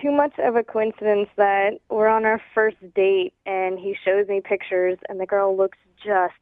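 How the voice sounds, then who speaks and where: A woman's voice: medium pitch, fairly even intonation, moderate pace, dry recording. A woman calling in to a radio show over a phone line, talking in a casual conversational way. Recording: tinny, narrow-band telephone line.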